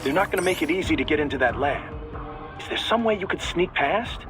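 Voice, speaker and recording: An anxious young sidekick acted by a man speaks in a fairly high voice that swings up and down. It is eager video game acting, with music clearly behind it. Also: lively intonation, fairly quick, natural voice. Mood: worried.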